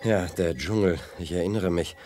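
An actor playing an earnest, brave young space hero with dramatic delivery in a children's radio play. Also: male voice, deep voice, normal speed, darkish tone, soft background music, lightly acted.

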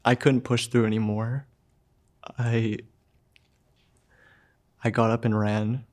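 A young man telling his own story in a short film, soft-spoken and halting, with pauses and fillers. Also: mid-range voice, little pitch movement. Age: young adult. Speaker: student filmmaker narrating his own story. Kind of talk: personal narration for a short film.

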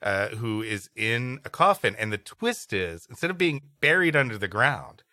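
A man's voice: lowish voice, sing-song, moderate pace, pushed and bright, no echo. A man co-hosting a comedy podcast, bantering and reading stories. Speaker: podcast co-host. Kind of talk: comic banter and story reading.

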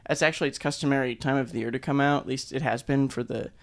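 A man's voice: mid-range voice, steady tone, quick pace, creaky. A man co-hosting a podcast in casual chat, dry and informative, often restarting his sentences. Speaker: podcast co-host.

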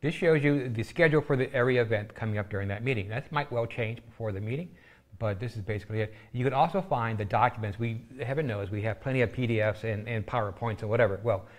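A middle-aged American man appearing as a guest on a local TV show, explaining in an unhurried, halting way with many pauses. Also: medium pitch. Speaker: school system guest. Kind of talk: casual studio chat.

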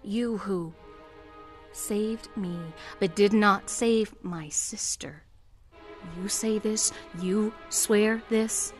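A woman's voice: medium pitch, extremely slow. A proud survivor queen of the sewers, solemn and weary, issuing short commanding lines in an audio drama. Music plays beneath her voice. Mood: solemn, wary. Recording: music under the voice.

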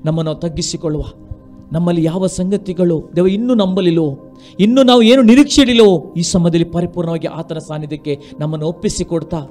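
A man's voice: high voice, darkish tone. A middle-aged man from India preaching and praying as a pastor in a fervent, exhorting way, building through repeated phrases into a handheld microphone. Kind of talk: sermon and prayer in a church service. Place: dark studio stage with coloured lighting. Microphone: handheld microphone held at chest to mouth. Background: music.

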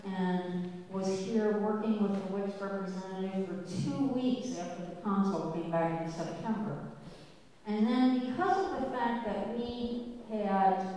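Older female organist addressing a congregation: dry humor, halting ums, booming church echo. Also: mellow. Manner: chatty, wry, unhurried with many ums. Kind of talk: speech to a church audience. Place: large church sanctuary with balcony. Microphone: handheld mic. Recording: echoey church hall, distant camera.

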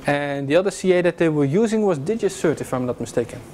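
A man's voice: on the high side, lively intonation, fast talker, darkish tone, a little hiss or hum. Casual man lecturing in a classroom. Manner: casual, joking, many fillers and restarts. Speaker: security lecturer. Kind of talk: informal technical lecture with slides. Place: classroom with projector screen. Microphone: far camera.

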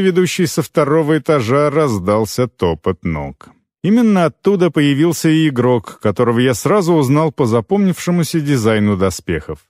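A man narrating scripted first-person fiction as an audiobook reader, expressive, with deliberate phrase breaks. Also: medium pitch, dry recording, clean studio sound.

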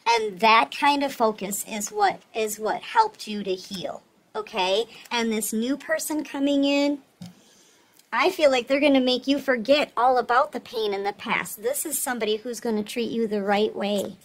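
A woman's voice: fairly high pitch, animated, moderate pace. A woman giving a casual, reassuring tarot reading, speaking straight to the listener and often checking in. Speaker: tarot reader, host.

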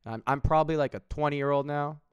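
A man's voice: on the high side, fast, lo-fi sound, slight background noise. A man of thirty streaming from home, rambling in casual chat and swearing freely, with lots of restarts. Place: home room with window, indoors.

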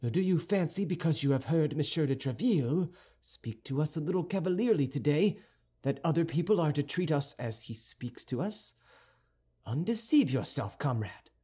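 A man voicing a proud, cold, wounded male musketeer who speaks in a haughty, cutting and deliberate way. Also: a little high, animated, mellow, breathy edge. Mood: haughty rebuke.

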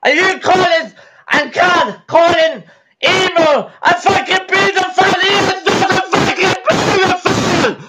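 A man answering a call, shouting and swearing furiously, close to tears. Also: very high-pitched, fairly even intonation, a little slow, loud music behind the voice, rough recording. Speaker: call recipient. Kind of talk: angry phone rant. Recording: loud background noise.

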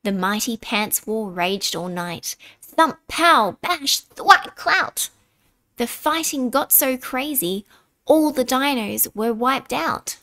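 A woman reading a children's picture book aloud in an expressive, deliberate way, dramatic on key words. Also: a little high. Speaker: storybook reader.

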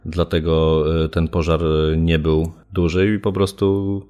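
A man with a very low voice talks through a criminal case as an interviewed commentator. His speech is unscripted and hesitant, often broken by filled pauses as he explains. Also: little pitch movement, mellow, soft background music.

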